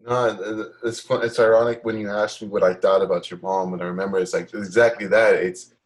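A man's voice: lowish voice, steady tone, a little fast. A young man chatting casually with a friend as a podcast host, in a loose, slangy, affirming way. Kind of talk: casual chat between friends.

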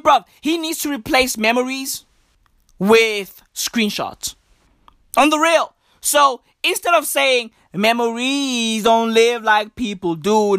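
Woman comic, high voice, slow deliberate delivery, profane slangy rant, dry close sound. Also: a bit bright, no room sound. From South Africa. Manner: emphatic, profane, slang-heavy, repeats lines for punch. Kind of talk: stand-up comedy rant.

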